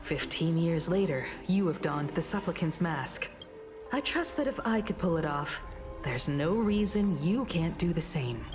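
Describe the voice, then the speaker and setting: A woman's voice: low-pitched, lively intonation, measured pace. A woman playing a composed, confident veteran heroine and mentor with formal, poised speech in an anime game. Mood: encouraging. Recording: loud music behind.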